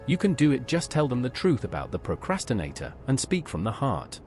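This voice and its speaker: A man's voice: mid-range voice, wildly swooping pitch, fairly quick, darkish tone. A man voicing a capable, helpful adventurer in video game dialogue, encouraging and straightforward. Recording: music playing behind the voice.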